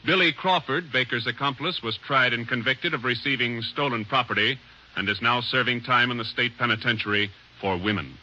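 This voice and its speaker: A man reading formal announcements in a measured, declamatory old-time radio announcer style. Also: mid-range voice, sharp tone, lo-fi sound. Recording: vintage radio broadcast with music.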